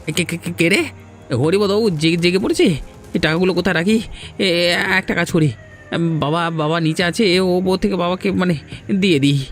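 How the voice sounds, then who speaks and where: A man voicing a scheming young son in a cartoon, sly and quick to stammer when caught. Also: high voice, faint music behind, natural voice. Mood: panicked. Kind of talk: Bengali cartoon dubbing.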